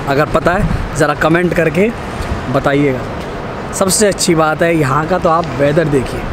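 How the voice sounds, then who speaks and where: A young man vlogging his trip to the camera in a casual, enthusiastic tone, talking in Hindi mixed with English while he walks through streets, rides a bus and crosses an airport terminal. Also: fairly high pitch. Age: young adult. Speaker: travel vlogger. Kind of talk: casual travel vlog. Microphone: handheld camera at arm's length. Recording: loud background noise of street and terminal.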